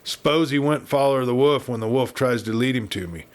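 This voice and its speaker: A man's voice: mid-range voice, moderate pace, close to an everyday voice. Audiobook Western heavy: grim, contemptuous big man, rustic American drawl, growled delivery, performed by a male reader. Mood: grim, resolved. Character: big grim ruthless cowboy villain of the American West. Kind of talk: Western audiobook character reading.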